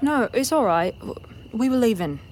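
A woman playing a kind, awkward, folksy character in an audio drama, plain-spoken and halting. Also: fairly high pitch, expressive, darkish tone, a little hiss or hum, close to an everyday voice. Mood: awkwardly kind. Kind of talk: audio fiction drama acting.

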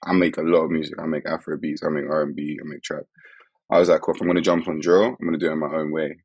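Guy, super deep gravelly voice, chill and laughing a lot, dry sound. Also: level tone, racing, darkish tone, dry recording. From England. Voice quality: gravelly, husky. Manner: laid-back, laughs often. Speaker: musician guest. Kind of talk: casual podcast chat.

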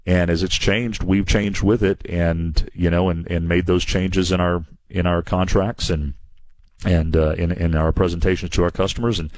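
A man's voice: sits low, little pitch movement, normal speed, darkish tone, no echo. A man hosting a call-in home improvement radio show, talking plainly and earnestly with many restarts and repeated words. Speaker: radio show host and window company owner. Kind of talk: call-in radio advice talk.

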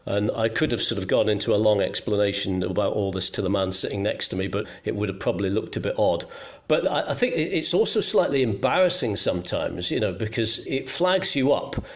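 A man's voice: fairly low pitch, animated, a little fast. A middle-aged man from Scotland, telling anecdotes conversationally as a guest, in a self-deprecating way. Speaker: journalist guest.

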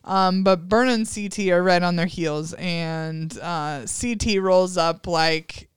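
A woman's voice: lowish voice, slow, smooth, dry recording, studio quality. A young adult woman hosting a podcast, recapping a reality show in a chatty, giggly way with lots of fillers. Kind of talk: casual chat.

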